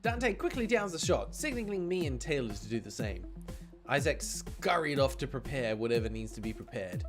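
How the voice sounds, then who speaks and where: A man livestreaming a visual novel, switching between expressive reading and chatty, playful commentary. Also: mid-range voice, normal speed. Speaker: game streamer. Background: game music.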